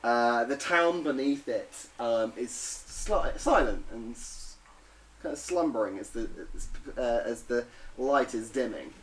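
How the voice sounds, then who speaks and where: A man's voice: medium pitch, a little slow, poor audio. A man running a tabletop game as game master, narrating playfully and humming sound effects.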